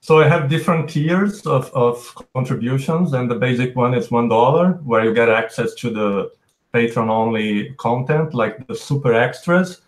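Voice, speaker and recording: Brazilian guest, Portuguese native, chatty explainer, echoey room sound. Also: male voice, medium pitch, normal speed. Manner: explains at length with restarts. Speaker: podcast guest. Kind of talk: casual chat. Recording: roomy echo.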